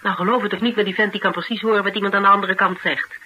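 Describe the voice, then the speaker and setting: An actress playing a flustered, blunt, protective interviewer in a radio drama, with a Netherlands Dutch accent and a halting, exasperated delivery. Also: female voice, mid-range voice, fairly even intonation, bright, forceful tone, natural voice, poor audio. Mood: hurried, confiding. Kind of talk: naturalistic radio drama dialogue. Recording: faint background noise.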